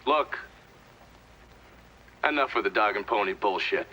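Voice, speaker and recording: A man's voice: fairly low pitch, expressive, measured pace, sharp tone, heavily acted, rough recording. A man playing an impatient, cynical witness under questioning in a video game, curt and contemptuous, with an American accent. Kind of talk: video game cutscene acting.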